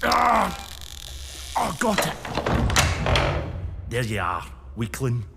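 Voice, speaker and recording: A man's voice: medium pitch, huge pitch swings, extremely slow, creaky, heavily acted. An actor voicing a cautious, teasing boy friend in a period audio drama. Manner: cautious then mocking. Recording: distant, with long reverb and faint hiss.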